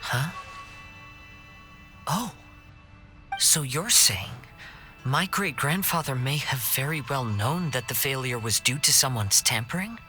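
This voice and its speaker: A man playing a calm, principled young wandering samurai in a video game cutscene, speaking formally and gently. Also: mid-range voice, slow pace, long pauses, faint music behind. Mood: thoughtful, polite.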